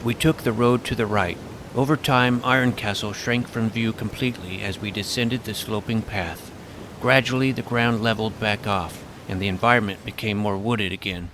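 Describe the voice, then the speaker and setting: A man's voice: lowish voice, fairly even intonation, moderate pace, a bit bright, slight background noise, low-quality recording. A man narrating a comic fantasy adventure in the first person as its self-important young hero.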